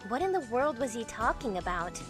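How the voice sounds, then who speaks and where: A woman's voice: on the high side, big pitch swings, fairly quick, over music. A woman playing a bewildered young heroine, narrating her own story in an amateur visual novel dub. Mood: introspective. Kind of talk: first-person visual novel narration, fandub.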